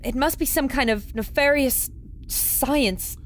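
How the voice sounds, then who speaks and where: A woman's voice: high-pitched, slow, a little hiss or hum. A woman playing a plucky adventurer reporter in an audio drama, confiding urgently to her listeners. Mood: furtive, excited. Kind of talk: audio drama acting, narrating into a recorder.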